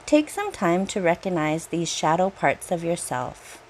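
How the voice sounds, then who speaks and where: Slow-paced woman guiding a meditation. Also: lowish voice, big pitch swings, a little hiss or hum, low-quality recording. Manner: gentle, unhurried, with long pauses. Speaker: meditation guide, reiki teacher. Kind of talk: guided meditation, slow soothing narration.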